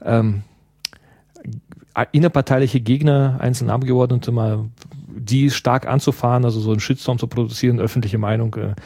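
Man from Russia, second-language speaker, earnest explainer, mellow voice, dry close sound. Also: mid-range voice, fairly even intonation, moderate pace, husky, no room sound. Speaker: interview guest, party activist. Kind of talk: explanatory conversational interview.

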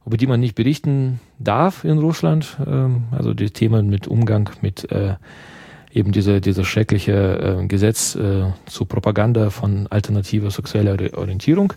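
A man's voice: on the low side, fairly even intonation, moderate pace, gravelly, mellow, breathy edge, no room sound. A man from Russia giving an interview in a second language, explaining earnestly and searching for words as he goes. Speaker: interview guest, party activist.

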